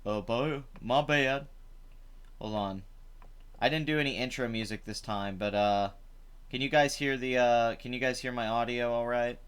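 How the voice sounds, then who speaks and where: Chatty man with a rough, hungover voice. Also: mid-range voice, steady tone, moderate pace, a bit bright. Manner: rambling, chatty, many fillers. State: rough voice after drinking. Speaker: live stream host. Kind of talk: casual live stream commentary. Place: home.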